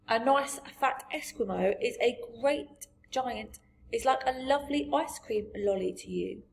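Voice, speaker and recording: A woman voicing a big, friendly male giant from a storybook, gentle and boastful, with muddled grammar and emphatic delivery. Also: a little high, gravelly, slight background noise, pitched above the performer's own voice. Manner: garbled grammar, invented words, emphatic. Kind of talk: storybook character voice.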